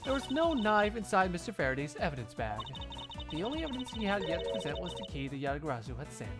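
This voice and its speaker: A man's voice: high voice, big pitch swings, fast talker, loud music behind the voice. A man voicing a haughty woman murder suspect in a video game fandub, theatrical and mocking. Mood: scornful.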